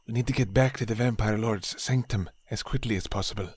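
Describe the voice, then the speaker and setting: A man's voice: fairly low pitch, a little fast, a little mellow, breathy edge, dry recording. A man voicing a loyal thrall captain who reports in a clipped, dutiful way. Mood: urgent.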